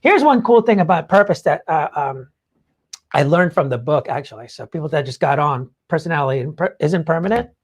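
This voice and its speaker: A man's voice: on the high side, lively intonation, a little fast, slightly rough. A man hosting a podcast in casual motivational talk, explaining things in an informal, rambling way. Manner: informal, rambling explanations peppered with "like" and "you know". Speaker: podcast host.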